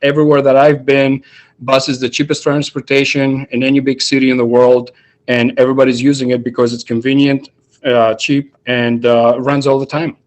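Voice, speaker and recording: A man's voice: mid-range voice, level tone, moderate pace. A man from Greece, giving a chatty answer full of fillers as a mayoral candidate on a video call.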